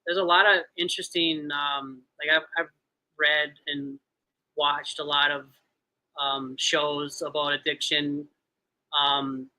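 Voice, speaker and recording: Bright-voiced hesitant man in his thirties. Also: on the high side, little pitch movement, slow pace, low-quality recording. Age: 30s. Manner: mellow, hesitant, many fillers. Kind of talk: casual chat.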